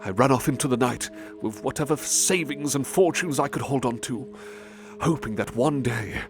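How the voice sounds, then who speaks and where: A man's voice: mid-range voice, normal speed, close to an everyday voice. Theatrical, sadistic nobleman confessing over music, acted by a man. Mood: smug storytelling. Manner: theatrical, gloating, savouring words, sinking into breathy whispers. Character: refined, sadistic serial-killer nobleman confessing his crimes. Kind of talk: horror audio drama monologue. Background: music under the voice.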